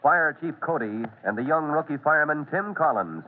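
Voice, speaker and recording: A man's voice: a little high, lively intonation, normal speed, narrow, tinny audio. Dramatic male old-time radio announcer. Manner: urgent, emphatic, theatrical. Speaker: announcer and narrator. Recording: thin, band-limited old radio recording.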